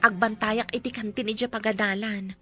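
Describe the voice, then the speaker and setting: A woman's voice: medium pitch, fairly even intonation, moderate pace, over music, lightly acted. A woman acting a dutiful young mother who works at a school canteen, in an Ilocano radio drama. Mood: earnest, resigned.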